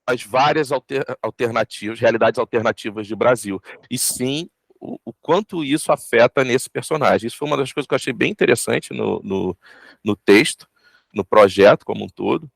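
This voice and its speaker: A man with a Brazilian Portuguese accent talking casually in an interview, haltingly, often repeating and rephrasing. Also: mid-range voice, gravelly, no echo. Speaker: audio drama team member.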